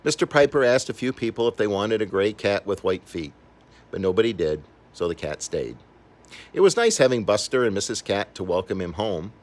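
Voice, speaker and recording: An older man reading a children's story aloud in an expressive, animated way, acting out the characters. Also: on the low side, normal speed. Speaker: storybook reader.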